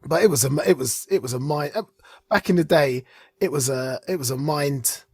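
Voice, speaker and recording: Male podcast host, husky, dry close sound, rambling enthusiastic casual chat. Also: a little high, moderate pace, darkish tone, dry recording. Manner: rambling, enthusiastic, searching for words aloud. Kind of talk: casual chat about comics.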